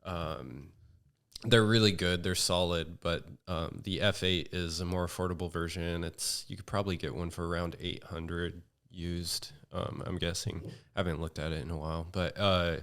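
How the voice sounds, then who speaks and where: A man with a deep, clean, resonant voice talks as a guest in a relaxed home podcast chat. His delivery is flat and monotone, and he stops and restarts often with plenty of fillers. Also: smooth. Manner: halting, many fillers and restarts. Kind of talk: casual chat.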